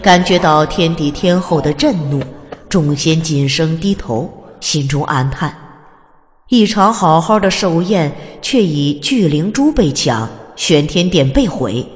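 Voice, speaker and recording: A woman's voice: low-pitched, expressive, a little slow. A woman reading scripted narration of a fantasy audiobook in a dramatic storytelling style. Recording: long hall reverb.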